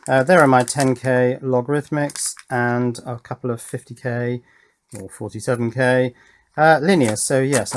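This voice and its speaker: Man, medium pitch, hobbyist presenter, unscripted workbench commentary, informal, explanatory, thinking aloud. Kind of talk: unscripted hands-on build commentary.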